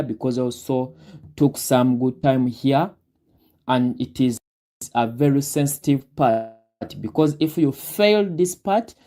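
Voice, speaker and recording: A young man with a Ugandan accent, a guest recruit talking deliberately through his advice with many fillers. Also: fairly high pitch, dark tone. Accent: Ugandan English. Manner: deliberate, breaks words down slowly with many fillers. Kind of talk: advice talk on a live Q&A.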